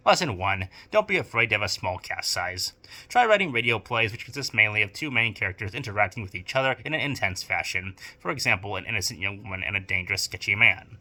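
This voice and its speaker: A man's voice: lowish voice, pushed and bright. A young man reading a scripted opinion essay as narrator, in a formal, emphatic, bookish way. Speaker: video essayist and audio drama producer.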